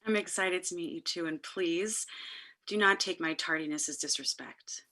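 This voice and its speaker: A woman's voice: on the low side, normal speed, bright, forceful tone. An actress playing Gina, a nervous and polite young woman student born in Haiti who speaks in an apologetic tone. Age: young adult. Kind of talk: live-streamed stage play reading. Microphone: video call.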